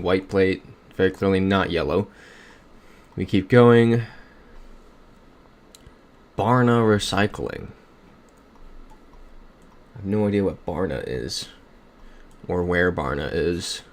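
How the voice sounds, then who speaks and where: A young man hosts a casual livestream from his room, upbeat as he thinks aloud and reads the chat. His voice sits on the low side, captured on a desk mic. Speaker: streamer.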